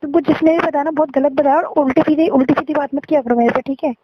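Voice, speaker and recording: A dull, lo-fi call recording of a young woman. Her voice is very high and she answers defensively, insisting on her side of a personal argument. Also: fairly even intonation, quick pace, no room sound. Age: young adult. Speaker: girlfriend on a phone call. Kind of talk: relationship argument in casual talk. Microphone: phone call.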